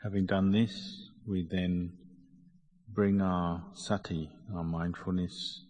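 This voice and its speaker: Male meditation teacher: very slow, monotone, deliberate instruction, recorded with long hall reverb. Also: low-pitched. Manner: measured, deliberate phrasing with pauses.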